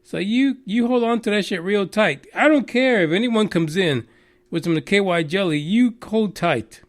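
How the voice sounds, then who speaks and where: Texan guy cussing his way through a radio news rant, high-ish voice, lots of uhs. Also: moderate pace. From Texas. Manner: crude, swearing, mocking, rambling with fillers. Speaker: radio show host. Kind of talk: profane rambling news commentary.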